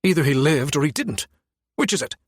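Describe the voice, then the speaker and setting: A man's voice: on the high side, animated, brisk, breathy edge, no room sound, pitched above the performer's own voice. A man acts out an older male scientist from a science fiction audiobook. He is stern and indignant, blustering and demanding, and easily rattled. The recording is dry and close.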